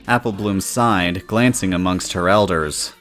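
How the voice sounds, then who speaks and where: Low-voiced male story narrator. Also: a little slow, a bit bright, faint music behind.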